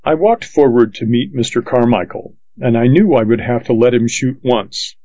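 A man reading a western audiobook in the first person, with measured, plain storytelling. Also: medium pitch, animated, mellow, dry recording. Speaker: audiobook narrator. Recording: dull, lo-fi dry audiobook recording.

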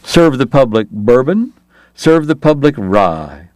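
A man's voice: mid-range voice, very animated, takes it slowly, darkish tone, dry recording, pitched above the performer's own voice. A man voicing a sardonic, teasing man-about-town who gossips about the people in the room.

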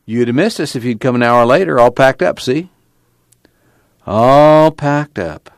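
A man's voice: medium pitch, a little fast, vocal fry, no room sound, clean studio sound, voice pushed up, lightly acted. A man voicing a worried, hard-pressed farm father with urgent, folksy rural speech.

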